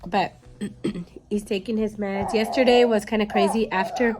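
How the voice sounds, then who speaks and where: A woman's voice: mid-range voice, fairly even intonation, moderate pace, background noise. A young woman with a stuffy, sore throat chatting casually to her phone camera, rambling with fillers. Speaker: vlogger. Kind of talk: casual vlog chat to camera. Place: indoors at home, then outdoors in snow. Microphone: phone held at arm's length.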